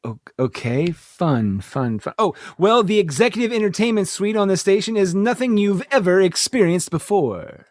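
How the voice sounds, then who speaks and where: A man's voice: sits high, lively intonation, normal speed, few pauses, dry recording, clean studio sound. A man playing an earnest, boyishly romantic starship captain who stammers sheepishly in a comedic sci-fi radio play. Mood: flustered, eager. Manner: stammering, throat-clearing, sheepish.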